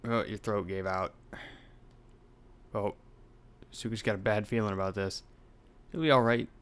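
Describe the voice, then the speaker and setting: A man's voice: fairly low pitch, steady tone, measured pace, fairly bright tone, clean tone. A young man hosting a let's play, reading out a horror game's dialogue with some drama and swearing in asides. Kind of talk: reading game text aloud with commentary.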